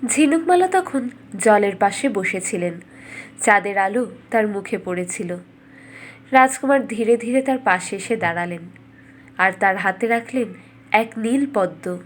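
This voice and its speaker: A woman's voice: mid-range voice, lively intonation, measured pace, a little hiss or hum. A woman reading scripted fairytale narration for a cartoon as a storyteller.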